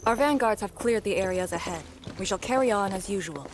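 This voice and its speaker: An actress playing a composed, commanding officer of a frontier defence force in a scripted video game. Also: female voice, medium pitch, expressive, brisk, noisy background, close to an everyday voice. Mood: composed, explaining. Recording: game music behind the voice. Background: game music.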